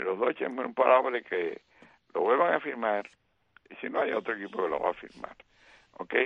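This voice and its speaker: A middle-aged or older man doing animated baseball commentary on radio. He often fills with "eh" and "¿ok?". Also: fairly low pitch, vocal fry, slightly rough, dry recording. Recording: tinny phone-line radio sound.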